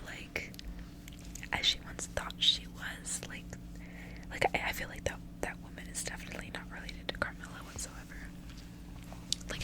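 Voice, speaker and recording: A woman whispering close to the mic in a breathy, unvoiced ASMR style, unhurried and informal as a host. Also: low-quality recording. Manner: casual, rambling, frequent filler "like". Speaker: ASMR host reading a book aloud. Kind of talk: whispered ASMR reading and casual chat. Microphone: close ASMR microphone.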